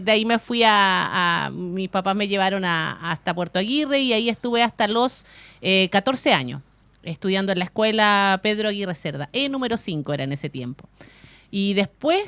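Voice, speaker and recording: A woman's voice: on the high side, a bit bright, clear, resonant tone, no echo. A Chilean woman in her thirties, a guest on a radio show, telling her life story in a chatty, colloquial way with easy laughter. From Chile, Aysén region. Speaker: guest, city councillor and radio announcer. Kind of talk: casual radio interview. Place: radio studio.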